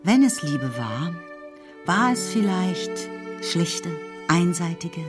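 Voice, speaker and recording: A woman narrating a literary radio drama in a calm, even, precise way. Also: on the low side, takes it slowly. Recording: music under the narration.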